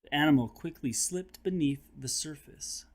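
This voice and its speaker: A man hosting a children's read-aloud, telling the story in a dramatic, expressive way with chatty asides. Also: fairly high pitch, normal speed. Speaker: host and teacher reading aloud to children.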